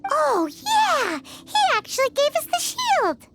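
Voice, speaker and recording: A tiny sassy fairy sidekick, voiced by a woman, chatters bluntly in a very high, squeaky voice. She talks slowly and swoops around in pitch. Game music sounds clearly behind her. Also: huge pitch swings, a bit bright, exaggerated voice. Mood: chatty. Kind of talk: video game dub.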